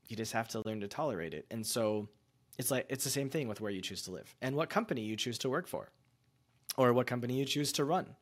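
A man hosting a podcast, musing in a relaxed, conversational way and often using fillers. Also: mid-range voice. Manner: reflective, musing, with loose fillers like "like" and "you know". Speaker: podcast host. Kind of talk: casual conversational chat.

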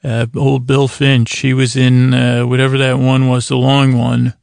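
A man hosting a bedtime podcast in a gravelly voice, rambling and halting as he improvises, drifting whimsically and trailing off mid-thought. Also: mid-range voice, monotone, a little mellow, no echo, clean studio sound. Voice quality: gravelly, creaky voice. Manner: mildly whimsical, acts out imagined exchanges. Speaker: podcast host.

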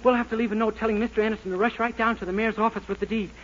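An American man playing an earnest, naive, excitable young man in a 1940s radio sitcom, breathless and pleading. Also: high voice, very fast. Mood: flustered, earnest. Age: young adult. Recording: noisy muffled 1940s radio recording.

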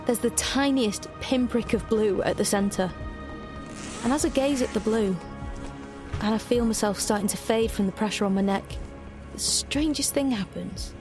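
A woman's voice: fairly high pitch, normal speed, lightly acted. A woman playing a reflective, wry young heroine, narrating her own story intimately in an audio drama. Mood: tense, wondering. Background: music.

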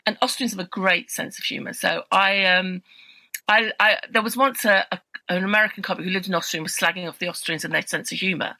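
Bright, chatty British woman comic. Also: mid-range voice, steady tone, fast talker, dry recording. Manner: chatty, laughs often, self-deprecating anecdotes. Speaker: comedian and promoter, guest. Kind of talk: casual chat.

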